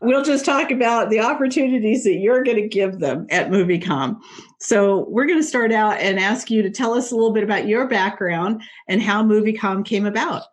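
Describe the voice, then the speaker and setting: An enthusiastic woman hosts an interview podcast at a middle pitch. She greets her guest with obvious excitement and laughs along easily. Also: normal speed. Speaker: podcast host.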